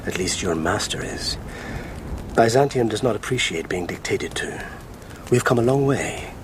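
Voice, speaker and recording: A man's voice: fairly low pitch, lively intonation, moderate pace, a little hiss or hum, close to an everyday voice. A man playing a proud, commanding Roman imperial ambassador, speaking formally and with authority in a historical radio drama. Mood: stern, formal. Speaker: actor.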